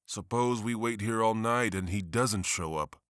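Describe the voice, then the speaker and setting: A man's voice: lowish voice, a little slow, fairly bright tone, dry recording, studio quality. A man playing a driven, bitter district attorney who speaks sardonically and heatedly. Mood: sardonic.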